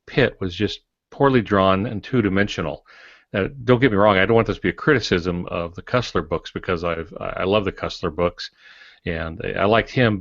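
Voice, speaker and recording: An older man with a low voice chats as a podcast co-host. He rambles and corrects himself along the way. The sound is somewhat dull and muffled. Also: fairly bright tone. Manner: rambling, digressing, self-correcting. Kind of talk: casual panel discussion. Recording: roomy echo, dull muffled audio.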